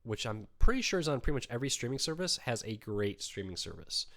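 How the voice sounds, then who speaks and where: A man's voice: mid-range voice, quick pace. A man hosts a laid-back live Q&A, answering viewer questions in an easygoing, rambling way full of ums. His voice is weakened by a cold he is still fighting. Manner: relaxed, chatty, many ums. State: weak voice from a cold. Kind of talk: casual live Q&A.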